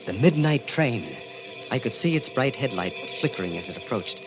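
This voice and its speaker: An actor playing a grim, vengeful young American man, narrating in a measured, brooding 1940s radio style. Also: mid-range voice, sing-song, close to an everyday voice, poor audio. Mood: somber, haunted. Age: young adult. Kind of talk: 1940s radio acting, dramatic first-person narration. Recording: old radio broadcast with dramatic music.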